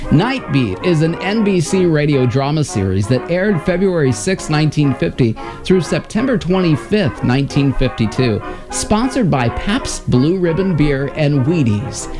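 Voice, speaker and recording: A man's voice: on the high side, sing-song, measured pace. A man reading scripted promotional narration as the presenter of a radio history programme. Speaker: presenter of old radio episodes. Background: loud music.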